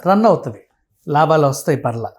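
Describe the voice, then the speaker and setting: A man's voice: a little high, expressive, fairly quick. A man with a Telugu accent talking casually and explaining things through everyday examples and lists, mixing in English words.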